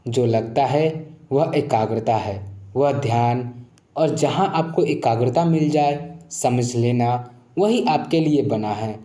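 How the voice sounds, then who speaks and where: A man giving a scripted motivational spiritual narration in a measured, reflective, explanatory way. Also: medium pitch, mellow, reverberant. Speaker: narrator of moral story channel.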